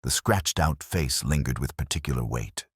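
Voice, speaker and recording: A man narrating a detective story in the first person, in a measured, brooding, literary manner. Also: rumbling low, expressive, slightly rough, no room sound, studio quality.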